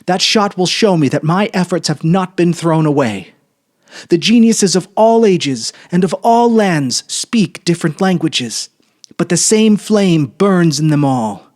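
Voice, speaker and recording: A man's voice: high voice, lively intonation, measured pace, a little mellow, pitched above the performer's own voice. A man voicing a lonely, exalted prisoner-scholar in a dramatic story reading, impassioned and declamatory. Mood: exalted fervour.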